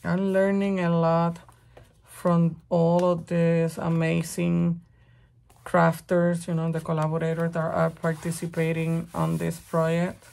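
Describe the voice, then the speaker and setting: Woman, middle-aged, raspy from a cold, gravelly low voice, slow chatty talk. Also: completely flat delivery, darkish tone. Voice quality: rough, raspy voice. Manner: slow, chatty, thinking aloud while working. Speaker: crafting channel host. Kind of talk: casual craft tutorial talk.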